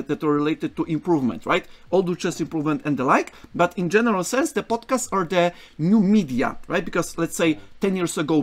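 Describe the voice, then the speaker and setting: A middle-aged man, hosting a casual interview with wordy, roundabout questions. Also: a little high. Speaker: interviewer, streamer. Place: room at home, video call with headset.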